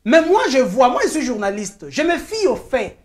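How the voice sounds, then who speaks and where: Congolese woman journalist, high lively voice, insistent debater. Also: moderate pace. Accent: Congolese French. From Kinshasa, DR Congo. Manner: insistent, argues point by point, mixes in Lingala phrases. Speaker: journalist guest. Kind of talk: heated talk-show debate.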